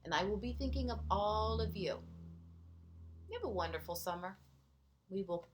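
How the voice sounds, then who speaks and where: A woman teacher reading a picture book aloud to young children, gently pausing for chatty asides and questions to her listeners. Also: medium pitch, expressive, slight background noise.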